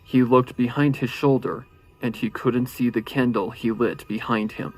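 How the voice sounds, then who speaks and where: A man's voice: mid-range voice, steady tone, moderate pace, darkish tone, low-quality recording. A man narrating a horror story in a suspenseful, theatrical way. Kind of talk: dramatic horror fan-fiction narration. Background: faint music.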